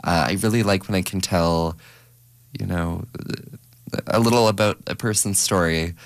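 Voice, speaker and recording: A young man co-hosting a radio show in a studio, talking in a relaxed, hesitant way with many fillers. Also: deep voice, moderate pace, dry recording. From Canada. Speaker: radio co-host. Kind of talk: casual radio chat. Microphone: studio microphone.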